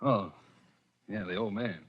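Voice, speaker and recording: A man's voice: fairly low pitch, measured pace, husky. An actor playing an anxious, bewildered man on the run, hesitant, in 1940s radio drama style. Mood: bewildered, guarded. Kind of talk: 1940s radio acting with narration. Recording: dull, lo-fi vintage radio recording.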